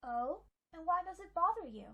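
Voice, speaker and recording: A woman's voice: very high-pitched, big pitch swings, brisk, phone-quality sound. A woman voicing a perky young pony schoolgirl in an audio drama, candid and inquisitive. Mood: curious, doubtful. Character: perky, concerned young female pony classmate.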